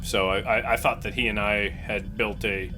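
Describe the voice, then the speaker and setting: A man's voice: on the low side, fairly even intonation, normal speed, over music. A man chatting casually as a player in a tabletop roleplaying game, taking the part of a captain. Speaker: player acting as starship captain.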